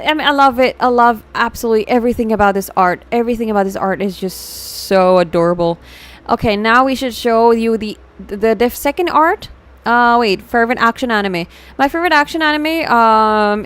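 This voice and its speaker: A woman with a fairly high voice and an Indian accent hosts a casual livestream. She talks informally, reacting and chatting to viewers, and mixes Hindi into her English as she goes. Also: a bit bright. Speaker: VTuber livestream host.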